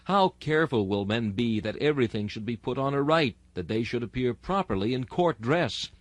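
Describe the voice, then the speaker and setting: A man with a mid-pitched voice delivers an archaic sermon as a dramatic reading. His manner is solemn and exhortatory, leaning on rhetorical delivery. The sound is dry, with almost no room around the voice. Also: expressive, moderate pace, dry recording. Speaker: sermon reader.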